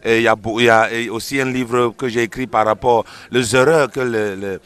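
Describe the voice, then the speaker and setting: A male pastor answering interview questions. His voice is bright and forceful at an ordinary male pitch. He teaches emphatically, restating key phrases to drive them in. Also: steady tone, normal speed, clear, resonant tone. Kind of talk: explanatory interview answers on a religious show.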